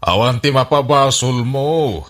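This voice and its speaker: Fretful cautioning father, very animated, sharp bright tone, exclaiming, Ilocano Filipino accent, radio comedy-drama acting. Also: medium pitch, unhurried. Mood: worried, cautioning. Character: fussing, cautioning father of a grown daughter.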